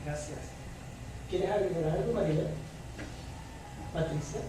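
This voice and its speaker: A man moderating a public debate, speaking hesitantly with pauses between short phrases. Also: fairly high pitch. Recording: very echoey room, distant mic, clear noise.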